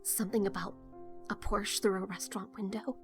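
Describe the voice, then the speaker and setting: A woman's voice: mid-range voice, fairly even intonation, brisk, music in the background, lightly acted. A woman acting in an audio drama as an earnest, uneasy teenage daughter, hesitant, subdued and confessional. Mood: hurt, indignant.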